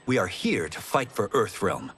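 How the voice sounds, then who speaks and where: A man's voice: lowish voice, very animated, normal speed, heavy background noise. An actor playing a humble, determined young farm boy fighter in a video game, sincere and formal. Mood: earnest. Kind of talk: video game cinematic acting. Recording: loud music behind the voice.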